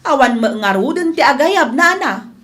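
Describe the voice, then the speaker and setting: A woman plays a very high-voiced village wife in an Ilocano comedy radio drama. She is evasive and whiny, always exclaiming and defending herself, with an Ilocano Filipino accent. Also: animated, normal speed, fairly bright tone. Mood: defensive whining. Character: evasive, complaining village wife who works as hired farmhand.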